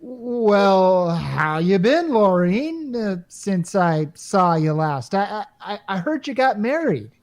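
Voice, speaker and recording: A woman's voice: mid-range voice, very animated, slow pace, hardly any pauses, darkish tone. A woman playing an earnest, flustered male company technician in a radio comedy, hesitant and defensive. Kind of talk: old-time radio comedy acting.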